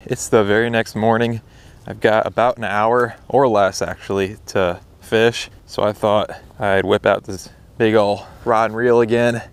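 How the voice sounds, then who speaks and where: A man's voice: on the low side, steady tone, a little slow, smooth. A young American man chatting casually and informally to camera as a fishing vlogger outdoors. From United States. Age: young adult. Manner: easygoing, informal. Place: outdoors by a pond, windy.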